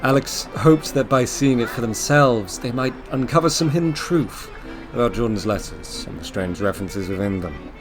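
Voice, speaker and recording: A man's voice: mid-range voice, lively intonation, normal speed. A man narrating an audio drama in a scripted, dramatic storytelling style. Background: music.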